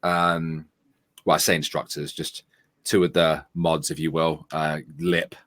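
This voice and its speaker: A man's voice: rumbling low, level tone, a little slow, fairly bright tone, no echo. A man, co-hosting over a remote call, telling rambling anecdotes in a chatty way. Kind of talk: casual livestream chat.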